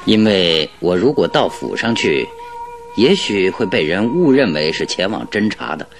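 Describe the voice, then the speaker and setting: A man's voice: low voice, very animated, normal speed, soft background music. A man acting in a radio drama as a confident, teasing master detective, with a theatrical, self-assured delivery. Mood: courteous, composed.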